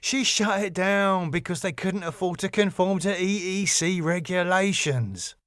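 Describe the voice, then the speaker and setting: A man's voice: high voice, unhurried, fairly bright tone, no room sound, studio quality, voice pushed up. A man voicing a cheeky, glib music promoter who wisecracks and placates with a flippant manner. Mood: placating.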